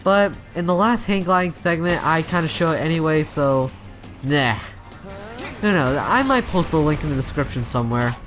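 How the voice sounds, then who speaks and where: A man's voice: fairly high pitch, expressive, normal speed, over music. A man giving casual let's play commentary, rambling and often stumbling and rephrasing as he goes. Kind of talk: casual video game commentary.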